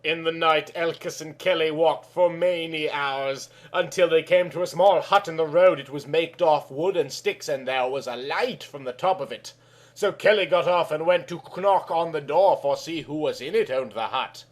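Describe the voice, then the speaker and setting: A man's voice: on the high side, normal speed. A man narrating a storybook tale in a naive, childlike manner, with mangled words.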